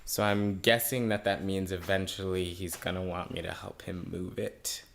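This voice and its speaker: A teenage boy, a high school senior, chatting in a rambling way in a casual video diary at home and laughing at himself. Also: flat delivery, normal speed, gravelly. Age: teens. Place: at home, partly outdoors on a deck. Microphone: handheld camera.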